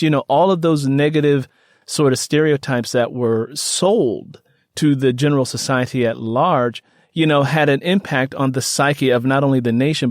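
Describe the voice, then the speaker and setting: An American man speaks as an interview host in a very dry recording with hardly any room sound. His pitch sits in the middle for a man. He talks earnestly and reflectively, wandering through his thoughts with many "you know" fillers. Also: no echo.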